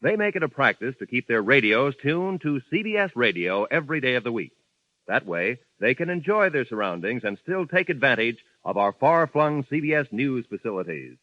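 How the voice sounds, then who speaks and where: A man announcing a station promo in a smooth, formal 1950s broadcast style. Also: mid-range voice, very animated, normal speed, no room sound. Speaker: network announcer. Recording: dull lo-fi 1950s radio recording.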